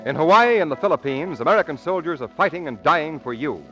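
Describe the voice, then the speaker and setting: A man's voice: fairly high pitch, expressive, fast talker, fairly bright tone, soft background music. A man announcing on 1940s radio in a formal, emphatic scripted style. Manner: emphatic, formal sales delivery. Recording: muffled old radio broadcast.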